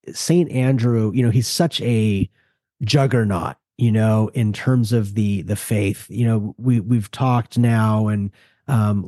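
A man with a relaxed, mellow voice co-hosts a religious discussion. He speaks earnestly and conversationally, often dropping in "you know". The sound is close and dry. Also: medium pitch, no room sound. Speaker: podcast co-host.

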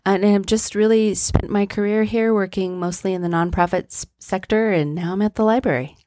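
A woman's voice: medium pitch, fairly even intonation, fairly quick, warm tone, slight background noise. A woman from Colorado, a library director, answering interview questions in a friendly, informative way. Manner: friendly, informative, some fillers. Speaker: library executive director, podcast guest.

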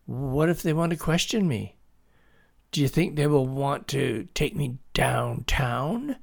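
A man voicing an excited, frightened young boy with an urgent, stammering delivery in a story. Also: mid-range voice, slow, creaky, full character voice. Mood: worried. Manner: urgent, stammering, gasping exclamations.

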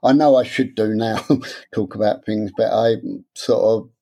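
A man, talking candidly in an interview, halting and repeating words as he speaks. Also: fairly low pitch, fairly even intonation, dark tone. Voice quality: stammer. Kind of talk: personal interview.

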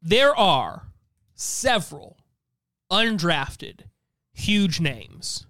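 An American man co-hosting a podcast in casual comedic banter, haltingly thinking aloud as he talks. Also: high-pitched, very animated, bright, forceful tone, dry recording, clean studio sound. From St. Louis area, United States. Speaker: podcast co-host.

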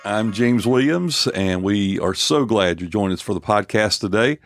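A man in his fifties hosting a church podcast, talking earnestly and unhurriedly and joking gently with his guests. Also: on the low side, animated, moderate pace, soft background music. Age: 50s. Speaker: podcast host. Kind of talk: casual church podcast talk.

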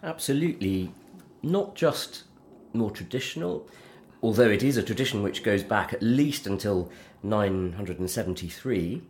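Low-voiced vicar hosting a podcast. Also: male voice, expressive, moderate pace, a little mellow, a little hiss or hum. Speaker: podcast host, Church of England vicar. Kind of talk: conversational theological discussion.

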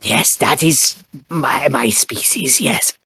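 A man voicing a bashful bird-folk cleric with a husky, raspy voice, who stammers and hesitates before speaking solemnly of his goddess. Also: mid-range voice, lively intonation, takes it slowly, pushed and bright, dry recording, clean studio sound, full character voice. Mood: earnest. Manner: stammering, hesitant, then solemn when he preaches. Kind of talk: tabletop character interview.

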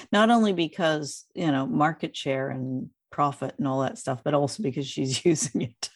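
A woman's voice: sits low, unhurried, slight background noise. A woman hosting a podcast, interviewing a guest in a conversational style.

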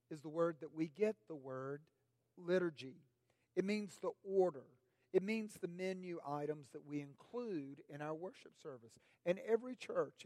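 A middle-aged male pastor delivers a sermon in a slow, deliberate cadence, his voice raspy today. The tone is mellow and warm, and the recording is dry and close. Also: fairly high pitch, no echo. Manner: measured, deliberate preaching with rising emphasis on questions. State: raspy voice this morning.

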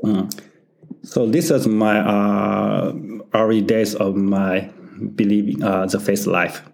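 A middle-aged Japanese man with a Japanese accent, a pastor answering interview questions in halting English full of pauses and small laughs. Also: lowish voice, level tone, vocal fry, a little mellow. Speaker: church founder and senior pastor.

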